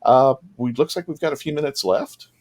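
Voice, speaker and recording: A man's voice: mid-range voice, a little fast, a little mellow. Enthusiastic man hosting a casual panel chat. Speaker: podcast host on a fan panel.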